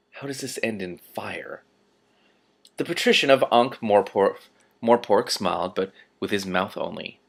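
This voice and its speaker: A man reading a fantasy novel aloud expressively, breaking off for casual reactions. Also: fairly low pitch.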